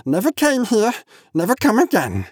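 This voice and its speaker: A man's voice: sits high, huge pitch swings, normal speed, breathy edge, dry recording, studio quality, voice pushed up, full character voice. A man voicing a wretched, cringing small creature from a storybook, whining and whimpering in broken, repetitive phrases. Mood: whimpering refusal. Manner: repetitive broken speech, calls himself we.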